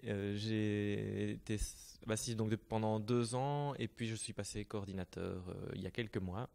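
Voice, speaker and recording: Man, lowish voice, halting, searching for words, hospital musician introducing himself. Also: fairly even intonation, gravelly, dark tone. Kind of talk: self-introduction at a panel.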